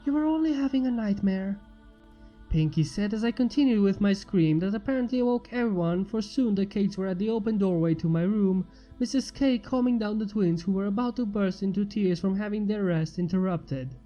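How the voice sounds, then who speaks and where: A man narrates a scripted story in a high, soft-edged voice. The sound is dulled and swims in long reverb, with music underneath. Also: a little fast, muffled recording. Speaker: fan fiction narrator.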